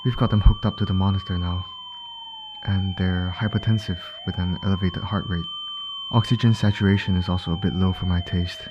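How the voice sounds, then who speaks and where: A man acts out a male medic in an audio roleplay, with a fairly low voice. He talks straight to his injured patient, steady and caring even under pressure. Some background noise and emergency ambience sit behind him. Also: a little fast, dull audio, close to an everyday voice. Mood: brisk, worried. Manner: reassuring, talking directly to an injured patient. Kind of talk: ASMR roleplay voice acting.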